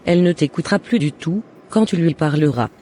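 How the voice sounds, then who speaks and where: A man voicing a jealous, scheming mother and neighbour in an animated moral drama, with deliberate scripted phrasing. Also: fairly high pitch. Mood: bitter, threatening. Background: faint music.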